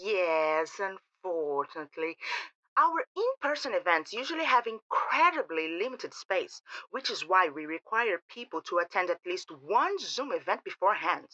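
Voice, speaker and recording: A woman's voice: lowish voice, big pitch swings, normal speed, sharp tone, dry recording, exaggerated voice. A woman playing a saccharine, gushing receptionist for a secretive organization in an audio drama, with a syrupy sales manner, over a phone call. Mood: gushing, persuasive. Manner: effusive, sales-like, syrupy familiarity.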